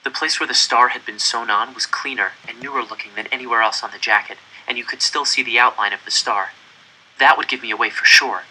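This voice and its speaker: A man reading a first-person story aloud in an expressive, deliberate, dramatic way. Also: mid-range voice, breathy edge, low-quality recording. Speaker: audiobook reader.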